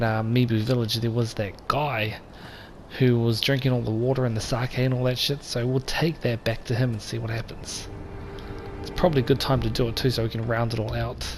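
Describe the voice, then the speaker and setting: Brief: male gaming host, gravelly and a little croaky, relaxed chatty commentary, game noise underneath. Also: medium pitch. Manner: relaxed and chatty, talks straight to viewers. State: slightly croaky voice. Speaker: let's play host. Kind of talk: casual gameplay commentary. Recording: voice over game sound noise.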